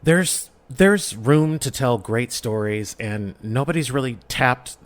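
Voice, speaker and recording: A man's voice: mid-range voice, slow pace. A man chatting casually as a guest in an interview, telling stories with enthusiasm. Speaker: podcast guest, amateur voice actor and audio drama creator.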